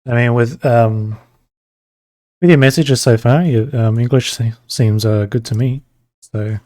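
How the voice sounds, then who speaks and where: A man streaming while he draws, chatting casually with viewers and rambling between pauses. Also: mid-range voice, no echo. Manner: relaxed, rambling, talks to chat between pauses. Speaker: streamer drawing live. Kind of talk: casual chat while drawing.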